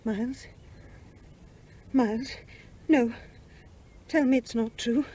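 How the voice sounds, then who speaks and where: A woman's voice: a little high, steady tone, slow, warm tone, husky. An actress playing a lovelorn, stubborn mother in a radio play, with a British accent and an earnest, plaintive manner. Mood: anguished.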